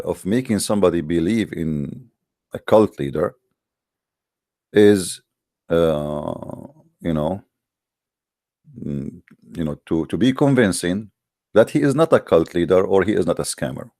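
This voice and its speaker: A man's voice: deep voice, lively intonation, measured pace, pauses often, warm tone, no echo, clean studio sound. A man, an English speaker, hosting a live call-in debate show in a hesitant but challenging way, with many fillers. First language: Arabic.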